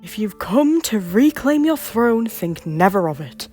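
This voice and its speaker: A woman's voice: mid-range voice, sing-song, a little slow, soft background music. A woman voicing a bitter, distrustful young male prince who claims the Scottish crown, speaking with scorn in a fan audio drama. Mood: scornful. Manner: scornful, sharp-tongued. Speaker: voice actor.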